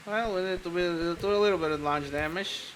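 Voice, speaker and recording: A man's voice: high-pitched, brisk, a bit bright, a little hiss or hum, rough recording. A man streaming a video game, chatting casually in a rambling, profane way with frequent tangents. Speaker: streamer, VTuber. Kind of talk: casual gaming commentary.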